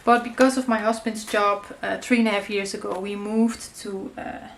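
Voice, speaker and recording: A woman's voice: mid-range voice, steady tone, normal speed. A woman in her thirties with a Dutch accent, whose first language is Dutch, chatting casually while she works through a paper craft tutorial. She talks in a loose, friendly way, with many fillers, and now and then she stops to search for a word. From Netherlands. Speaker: crafting channel host. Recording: echoey room with faint noise.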